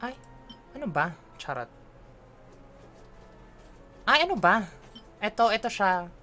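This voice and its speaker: A woman's voice: medium pitch, big pitch swings, measured pace, pushed and bright, faint music behind. A woman with a Filipino accent streaming a game, chatting playfully in a mix of English and Filipino and teasing herself. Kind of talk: casual livestream chat while gaming.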